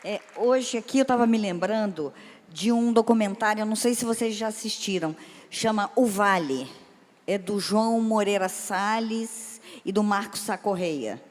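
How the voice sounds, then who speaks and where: A woman's voice: medium pitch, moderate pace. A woman moderating a seminar panel, who talks in a chatty, enthusiastic way and often restarts her sentences. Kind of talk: casual seminar talk. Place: large event hall. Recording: reverberant hall.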